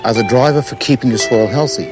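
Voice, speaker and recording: A man's voice: mid-range voice, quick pace, over loud music. A man explaining hands-on gardening in an earnest, plain-spoken way, repeating words for emphasis. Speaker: organic gardener. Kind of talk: informal explanation and demonstration to camera in a documentary.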